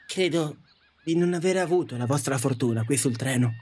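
A man's voice: medium pitch, normal speed, darkish tone, a little hiss or hum, close to an everyday voice. A man acting a wistful, reflective and hesitant passenger in the afterlife, in an audio drama. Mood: wistful, longing. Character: wistful male passenger in the afterlife, longing for love. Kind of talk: audio drama narration and dialogue.

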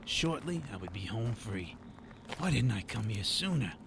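An actor playing a nervous, shifty streetwise informant on the run in a radio drama. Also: male voice, on the low side, big pitch swings, normal speed, gravelly, fairly bright tone, a little hiss or hum, heavily acted. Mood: explaining. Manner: jittery, streetwise. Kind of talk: radio drama monologue and dialogue.

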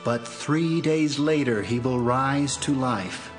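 A man playing a solemn angel in an audio Bible drama, speaking in a measured, declarative manner. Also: mid-range voice, over music, close to an everyday voice. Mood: solemn, proclaiming. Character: solemn male angel bringing holy news.

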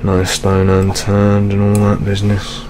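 A young man playing a jaded, world-weary British police detective in an ASMR roleplay, speaking in a hushed, deliberate manner. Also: fairly low pitch, natural voice. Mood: businesslike, sombre. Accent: British English. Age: 20s. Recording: steady rain ambience noise. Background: rain sounds.

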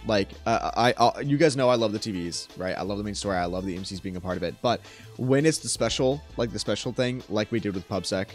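Low-voiced young man, enthusiastic rambling streamer. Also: fast talker, faint music behind. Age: young adult. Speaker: streamer reacting to a game trailer. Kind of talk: casual reaction commentary. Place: small room at home. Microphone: close desk microphone.

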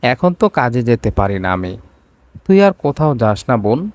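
A man's voice: medium pitch, sing-song, normal speed, a little mellow, close to an everyday voice. Man playing an ailing, protective elder brother. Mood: worried.

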